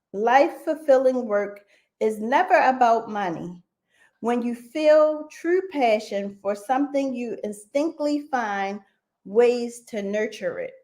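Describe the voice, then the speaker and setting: Slow-paced woman hosting a radio interview. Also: on the high side, darkish tone. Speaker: radio show host.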